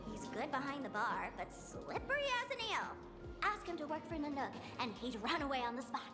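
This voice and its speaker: A woman playing a sly, breezy, teasing young woman in a video game, with a playful, expressive delivery. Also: sits high, fairly quick, in a large hall, over music. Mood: wry. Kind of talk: video game story voice acting.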